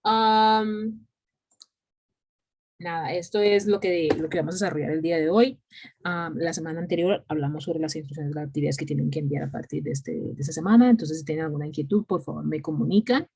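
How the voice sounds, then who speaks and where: A woman's voice: low voice, dark tone, slightly rough. Island-raised Colombian woman teaching English. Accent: San Andrés islander. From San Andrés, Colombia. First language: Creole English. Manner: measured, with frequent fillers. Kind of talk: online class.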